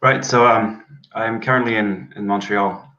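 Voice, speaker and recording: A young man with a New Zealand accent speaks in a very low voice. He explains technical ideas fluently and earnestly. The sound is dull and lo-fi, as over a video call. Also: fairly even intonation, normal speed, sharp tone. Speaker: PhD student guest. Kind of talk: explaining his research.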